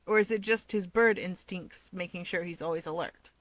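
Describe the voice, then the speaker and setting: A woman's voice: on the low side, moderate pace, a bit bright, dry recording. A woman reading scripted fiction aloud as an audiobook narrator.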